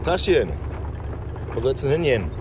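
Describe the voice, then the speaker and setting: An actor playing a chatty, nosy taxi driver making familiar small talk in a noisy car, in a radio play. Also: male voice, medium pitch, big pitch swings, normal speed, lightly acted.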